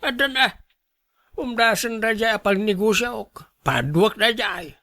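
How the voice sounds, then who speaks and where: A man playing a stubborn, wily old father who begs for money, in an animated radio drama style. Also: high-pitched, measured pace, creaky, no echo, clean studio sound, heavily acted. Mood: stubborn, grumbling. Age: older. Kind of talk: Ilocano radio drama acting.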